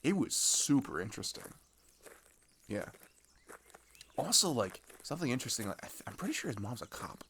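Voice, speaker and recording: A young man playing a chatty, excitable teenage runaway in an ASMR audio roleplay, rambling and halting in casual slang as he tells road stories. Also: medium pitch, slight background noise. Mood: amazed, animated, then gossipy, musing. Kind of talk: improvised-sounding ASMR audio roleplay monologue.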